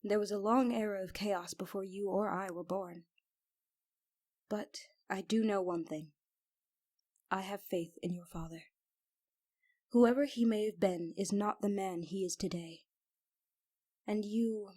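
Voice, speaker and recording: Husky, devoted lady knight played by a woman. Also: medium pitch, fairly even intonation, a little slow, long pauses, mellow, lightly acted. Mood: tender, protective. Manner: formal, earnest, restrained. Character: devoted, protective female royal knight, lover of princess. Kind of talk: ASMR fantasy audio roleplay.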